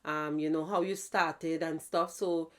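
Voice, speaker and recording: A woman hosting a casual interview, speaking in an affirming, unhurried way with many fillers. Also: low voice, fairly even intonation. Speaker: host.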